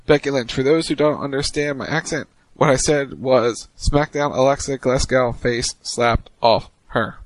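A man co-hosting a casual talk show, reading tweets aloud with relaxed, joking asides. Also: mid-range voice, normal speed, few pauses, no room sound. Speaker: podcast co-host.

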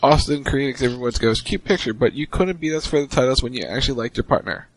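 A man co-hosting a casual talk show, reading tweets aloud with relaxed, joking asides. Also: medium pitch, quick pace, no room sound, low-quality recording. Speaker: podcast co-host.

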